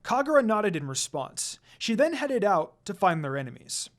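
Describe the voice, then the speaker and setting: A man reads fiction aloud as a narrator. His voice is on the high side and very lively, swinging widely in pitch for dramatic effect. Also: normal speed. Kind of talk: dramatic story reading.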